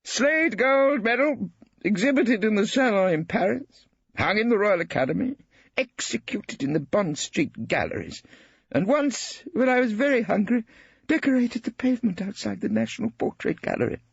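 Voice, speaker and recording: Old bohemian painter, male, expansive and boastful; raised, husky. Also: expressive, normal speed, no room sound, lo-fi sound, full character voice. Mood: boisterous. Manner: booming, theatrical, expansive. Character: boisterous hearty old male artist, swaggering.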